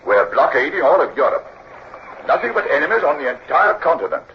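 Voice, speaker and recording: Gruff, commanding English captain for radio drama, hugely varied intonation, dull vintage sound. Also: male voice, a little high, sing-song. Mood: stern. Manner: authoritative, brisk. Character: commanding, gruff British navy captain, older, brisk. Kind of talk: old-time radio drama acting. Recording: dull, noisy old radio recording.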